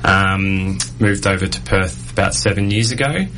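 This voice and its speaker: Man, very deep voice, friendly and relaxed, radio guest. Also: normal speed, faint music behind, rough recording. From Geelong, Australia. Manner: friendly, easygoing, with fillers. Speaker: podiatry program worker. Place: radio studio.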